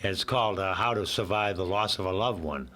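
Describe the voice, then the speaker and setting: An older man talks in a low, hoarse voice, still getting over a cold. He rambles a little and keeps slipping jokes into his answers, laughing at his own lines. There is a faint hiss underneath. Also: fairly quick, pushed and bright. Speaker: author and interview guest. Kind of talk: casual radio interview.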